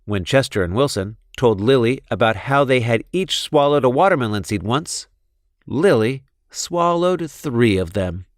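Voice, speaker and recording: A man reading a children's storybook in an expressive narrating style. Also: mid-range voice, a little slow, no echo, studio quality. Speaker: storybook reader.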